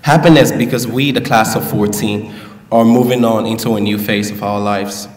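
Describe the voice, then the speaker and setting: A man's voice: lowish voice, steady tone, moderate pace. A young man delivering a graduation speech from a podium, with playful asides to the audience. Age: young adult. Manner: playful, joking asides to the crowd. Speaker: valedictorian. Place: large school hall at a podium. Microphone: podium mic, heard from a distance. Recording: echoey hall, distant mic.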